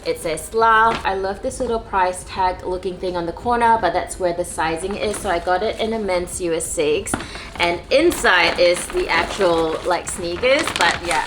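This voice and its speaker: A woman's voice: on the low side, noisy background. A woman from Malaysia chatting casually and enthusiastically to camera as a vlogger.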